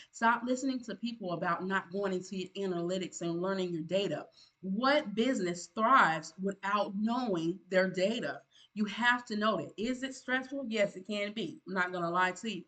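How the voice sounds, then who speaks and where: A woman, delivering motivational advice in an earnest, preachy monologue. Her voice sits at a middle pitch, and she is emphatic, circling back to restate her points with restarts. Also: fairly bright tone, low-quality recording. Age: 50s. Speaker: content creator giving channel advice.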